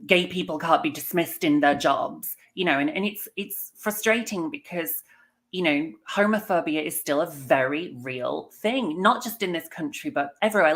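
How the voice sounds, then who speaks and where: A woman's voice: fairly low pitch, a bit bright. An author from England speaking as a guest over a video call, fervent and emphatic about her cause. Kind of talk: impassioned commentary.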